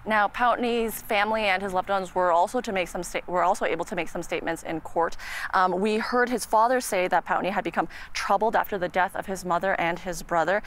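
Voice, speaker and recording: A woman with a Canadian accent giving a measured, earnest live news report as a reporter outside a courthouse. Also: mid-range voice. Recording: clear background noise, outdoor ambience.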